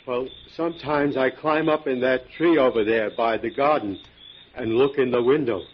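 Dull-sounding old radio drama with a man acting a timid, gullible country fellow. His voice is husky and mid-pitched, with a slow-witted, hesitant, folksy manner. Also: lightly acted. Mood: eager, naive. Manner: hesitant, naive, folksy grammar. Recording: dull 1940s radio recording.

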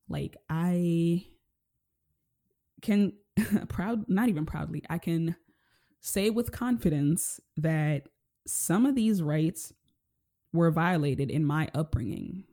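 A woman from Kenya hosting a casual interview, gentle and rambling, full of "like" and "you know". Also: low-pitched. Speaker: podcast host.

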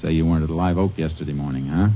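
A stern, very deep Texas Ranger. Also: male voice, fast talker. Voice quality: clipped, commanding. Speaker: narrator and lead. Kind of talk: 1940s radio acting. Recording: dull old radio recording.

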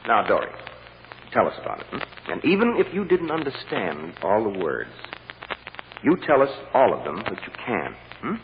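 An actor playing a shrewd, calm defense lawyer with a measured, persuasive delivery in 1940s radio drama style. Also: male voice, lowish voice, gravelly, heavily acted. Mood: gentle, coaxing. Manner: measured and deliberate, gently coaxing or drily firm. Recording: muffled, hissy old radio recording with hall echo.

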